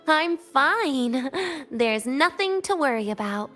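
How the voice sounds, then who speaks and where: An actress playing a frail, guarded young student in a video game, speaking in a soft, halting, reserved way. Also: female voice, high voice, expressive, soft background music, heavily acted. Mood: dismissive, weary. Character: frail, guarded girl student, quietly attached to her friend.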